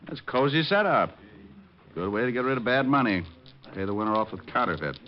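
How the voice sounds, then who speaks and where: An American actor playing a tough, wry, wisecracking private detective in an old-time radio drama. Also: male voice, medium pitch, very animated, a little fast, lightly acted. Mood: cool, mocking. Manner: wry, hard-boiled, wisecracking. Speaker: lead actor and first-person narrator. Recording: muffled vintage radio, music behind.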